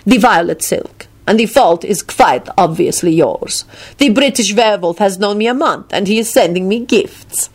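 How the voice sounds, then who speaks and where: A woman's voice: mid-range voice, fairly quick, few pauses, voice pushed up, close to an everyday voice. A woman voicing a haughty, imperious male vampire lord with a formal, scornful way of talking in a story. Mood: impatient, smug.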